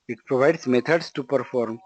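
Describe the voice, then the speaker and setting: A man's voice: mid-range voice, creaky, rough recording. A man with a Marathi accent giving a step-by-step lecture as an instructor, didactic, repeating points and asking rhetorical questions. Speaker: programming instructor.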